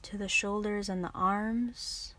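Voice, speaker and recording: Slow-paced woman guiding a meditation. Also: mid-range voice, steady tone. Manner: soothing, unhurried, gently instructing. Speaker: yoga and meditation teacher. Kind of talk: guided meditation instruction.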